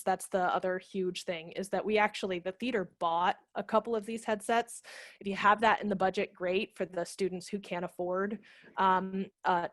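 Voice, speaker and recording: A woman's voice: mid-range voice, no room sound. A woman in her thirties giving a chatty, explanatory workshop talk over a video call. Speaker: theater director and former teacher presenting a workshop. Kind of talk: informal instructional video-call presentation. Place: home room, indoors. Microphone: headset microphone on a video call.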